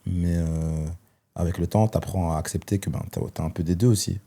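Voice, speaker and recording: A man, telling his life story as a guest, thoughtful and hesitant with many pauses and fillers. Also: low voice, steady tone, mellow. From Belgium. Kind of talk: personal testimony in conversation.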